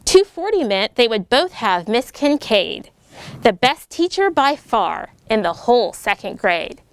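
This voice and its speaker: A middle-aged American woman, a military officer, reading a children's picture book aloud with lively, animated delivery and voiced character lines. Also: fairly high pitch, measured pace, smooth, dry recording. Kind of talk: storybook read-aloud.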